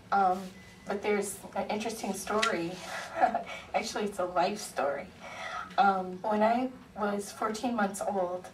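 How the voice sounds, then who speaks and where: Soft-voiced woman speaking slowly. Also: medium pitch. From Ohio, USA. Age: 40s. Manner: unhurried storytelling with frequent ums. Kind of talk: personal testimony speech. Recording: echoey hearing room, far mic, faint noise.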